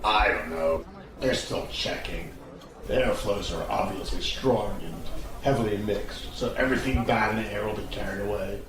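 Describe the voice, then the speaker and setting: An actor playing a blunt, fatalistic, world-weary soldier back from duty in an audio drama. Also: male voice, fairly low pitch, moderate pace, natural voice. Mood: weary, cynical. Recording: distant, very echoey, crowd noise behind. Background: pub crowd chatter.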